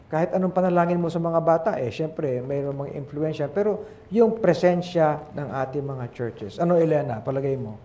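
A man's voice: on the high side, normal speed, dark tone. An older man, a pastor hosting a studio talk show, chatting in a fatherly way full of "okay?" and "eh". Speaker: pastor and host. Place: small TV studio set. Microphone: lapel mic.

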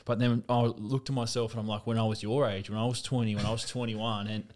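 A man with a fairly low voice hosts a relaxed studio podcast. He talks in an easygoing, chatty way into a desk mic and laughs readily. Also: quick pace. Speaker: podcast host. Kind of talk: casual interview chat. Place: small home studio. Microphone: studio mic on desk stand with headphones.

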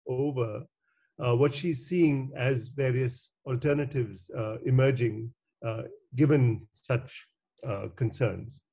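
Male moderator, mid-pitched, hesitant and polite, stopping often between phrases on a video call. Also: mellow, breathy edge. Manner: halting, hesitant, courteous. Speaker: panel moderator. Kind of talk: moderating a panel discussion.

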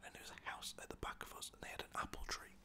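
A man from Lancaster, softly whispering rambling stories about pets as a dog walker. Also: rapid-fire. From Lancaster, England. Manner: soft whispering, rambling and chatty. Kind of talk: whispered ASMR storytelling.

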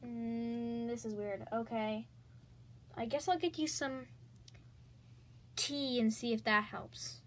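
A woman playing a calm, doubtful mom. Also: a little high, slow pace, long pauses. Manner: calm, matter-of-fact, suspicious. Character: patient, doubtful mother of two girls. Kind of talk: comedy skit acting.

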